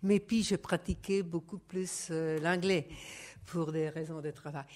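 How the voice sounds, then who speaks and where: A middle-aged Italian woman speaking French as a second language, opening an academic lecture in a careful, halting way. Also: lowish voice, fairly even intonation, a little mellow. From Italy. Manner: careful, halting, apologetic about her French. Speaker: guest lecturer.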